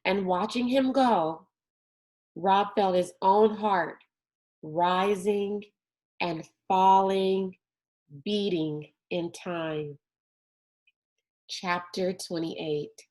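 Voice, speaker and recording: Unhurried woman reading a storybook aloud. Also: mid-range voice, darkish tone, poor audio. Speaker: reader of a children's novel read aloud. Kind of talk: scripted storybook narration.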